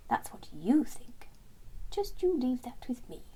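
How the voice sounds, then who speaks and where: Storybook animal leader, male, played by a woman: high, busy and purposeful, brisk emphatic planner, husky edge. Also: very animated, mellow, whispered, heavily acted, poor audio. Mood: confident.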